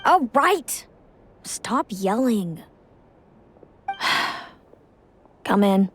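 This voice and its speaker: A woman's voice: medium pitch, sing-song, drawn-out words, a bit bright, faint music behind, full character voice. An actress voicing a guarded, curt, forbidding young woman in a video game. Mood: guarded, warning. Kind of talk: English video game voice acting.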